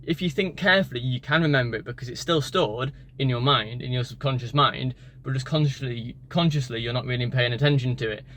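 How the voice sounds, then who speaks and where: A man's voice: mid-range voice, brisk, hardly any pauses, a bit bright. A man, a native English speaker, talking casually in a vlog about learning languages, tired after the gym and rambling with many fillers and restarts. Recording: street and car noise behind.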